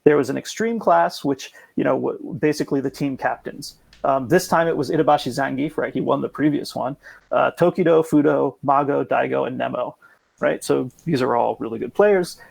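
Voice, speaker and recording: A man in casual podcast conversation, explaining things in a rambling, hedging way with a gravelly, husky voice. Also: medium pitch, moderate pace, no room sound, low-quality recording. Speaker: podcast guest explaining esports.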